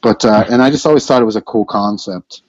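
A man's voice: on the low side, fast, dull audio, crowd noise. A man talking casually in a podcast interview, rambling with many fillers and restarts. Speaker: band member, vocalist and lyricist.

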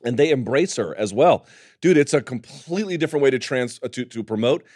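A man's voice: mid-range voice, fairly quick, no echo. Guy hosting a sports talk show, emphatic, keeps saying dude, dry close mic. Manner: animated, emphatic, calls co-host dude. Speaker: podcast host. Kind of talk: casual MMA talk.

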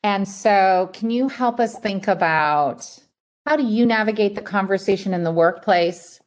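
A woman's voice: medium pitch, measured pace. A woman interviewing someone in a conversational workplace style.

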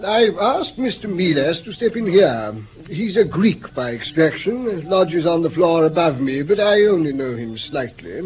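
A man with an English accent playing a genial, unhurried older gentleman in a radio play, courteous and a little hesitant. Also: a little high, big pitch swings. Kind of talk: mid-century British radio play acting. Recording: tinny narrow-band old radio recording.

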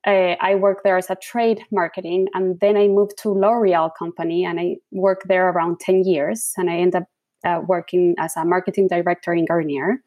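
A woman's voice: fairly low pitch, little pitch movement, moderate pace, dull audio. A woman from Chile with a Chilean accent, a Spanish speaker telling her story as an interview guest, earnest and sometimes searching for words. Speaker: guest, design founder.

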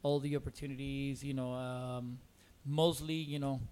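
A man in his forties from Costa Rica with a Cuban accent in English, a native Spanish speaker, chatting casually and haltingly as a podcast guest. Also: mid-range voice, flat delivery, darkish tone, smooth. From Costa Rica, born in Cuba. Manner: hesitant, rambling, many "you know"s. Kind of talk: casual conversational interview.

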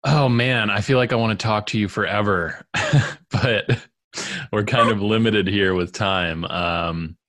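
Deep-voiced man hosting a podcast. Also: unhurried, fairly bright tone, dry recording, studio quality. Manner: laughs often, friendly hosting. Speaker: podcast host. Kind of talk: casual podcast interview.

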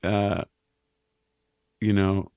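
A man in his thirties with a low, slightly raspy voice, chatting casually on a podcast as a guest, rambling and self-deprecating. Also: extremely slow, no echo, studio quality. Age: 30s. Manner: rambling, self-deprecating, animated when joking. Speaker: clown and musician guest.

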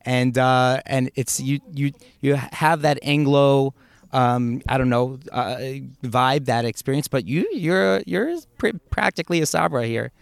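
A man's voice: mid-range voice, a little slow, creaky, smooth, no echo. A man hosting a podcast interview, chatting casually with easy laughs and hesitant fillers. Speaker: podcast host.

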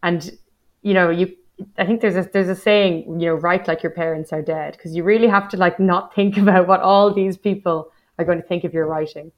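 A woman's voice: lowish voice, fairly even intonation, moderate pace. A woman in her thirties with a Dublin accent, a poet talking about her writing life in a casual interview, reflective and self-deprecating. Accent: Dublin Irish. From Ireland. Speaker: guest poet.

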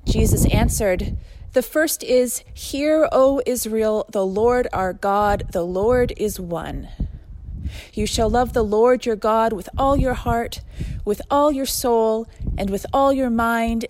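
Woman, scripture reading, slow measured delivery, higher-set voice, noisy outdoor street recording. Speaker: scripture reader. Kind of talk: read-aloud scripture with spoken introduction. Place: outdoors walking along town streets in the cold. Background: street noise.

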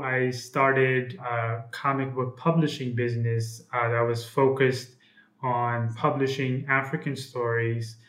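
A young man from Ethiopia talks as an interview guest, hesitant and full of restarts. His voice has a mid-range pitch. The recording picks up a roomy echo around him. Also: a bit bright. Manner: hesitant, many fillers and restarts. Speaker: guest, comic book publisher. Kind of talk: casual interview.

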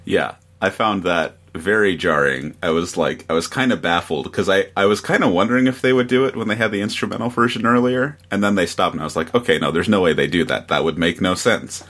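A man on a podcast panel chatting casually about a review, halting, with exasperated amusement. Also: low-pitched, a bit bright.

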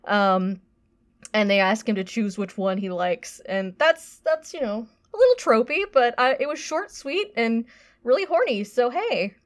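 A woman co-hosting a podcast, giving a casual review in halting, hesitant phrases. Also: fairly high pitch, animated.

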